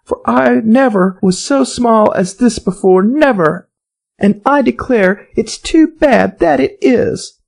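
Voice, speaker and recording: Man voicing a high, earnest little storybook girl. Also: slow pace, vocal fry, full character voice. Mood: miserable. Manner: earnest, wordy, apologetic. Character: polite, chatty, easily flustered little girl. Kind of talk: storybook character voice.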